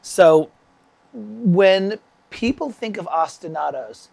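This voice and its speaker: A man's voice: sits high, lively intonation, takes it slowly, gravelly, a little mellow. Chatty man with a summer cold. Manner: rambling, chatty, talks to viewers by name. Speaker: music composition teacher. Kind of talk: casual livestream lesson.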